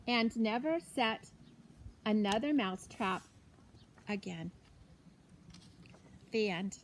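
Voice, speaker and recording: A woman narrating a children's storybook aloud in a deliberate, expressive way, with pauses for effect. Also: mid-range voice, slight background noise. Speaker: teacher reading a story aloud.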